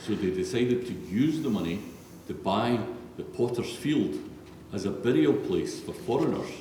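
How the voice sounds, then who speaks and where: A man with a low, mellow voice reads scripture solemnly as a narrator. He is heard from far off in a big church, with long, heavy reverb around every word. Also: animated, normal speed. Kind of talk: solemn scripted Bible reading. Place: large reverberant church, read aloud. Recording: echoey church hall, distant, faint noise.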